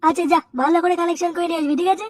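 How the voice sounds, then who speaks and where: A performer voicing a bossy young male club organiser in a cartoon comedy, giving brisk orders. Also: very high voice, flat delivery, no echo, clean studio sound, distant mic.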